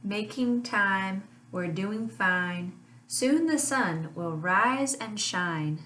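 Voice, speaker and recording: Slow, sing-song woman reading a picture book. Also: mid-range voice. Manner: slow, sing-song rhyming read with lively honks. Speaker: family literacy teacher. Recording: echoey room, voice a bit far from mic.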